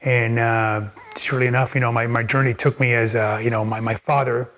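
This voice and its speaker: A man's voice: medium pitch, flat delivery, fairly quick, fairly bright tone. An older man from Miami, speaking as an interview guest at home in his library, telling his life story casually with many fillers and repeated words. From Miami, United States.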